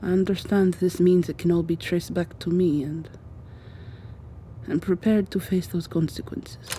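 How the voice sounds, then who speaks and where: A woman voicing a devoted, guilt-ridden rescuer in an ASMR roleplay drama, hushed, halting and earnest. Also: lowish voice, fairly even intonation, slight background noise, lightly acted. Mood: resolved.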